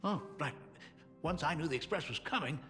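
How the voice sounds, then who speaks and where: An actor playing a doting, joking older father in a video game, with a gravelly, lively voice. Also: a little high, a little fast, big-room sound, soft background music. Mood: warm.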